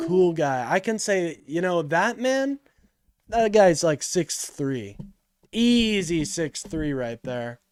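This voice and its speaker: A man's voice: a little high, clear, resonant tone, no echo, studio quality. A man hosting a live political call-in stream in a casual, joking way, with slang and swearing as he talks to his chat.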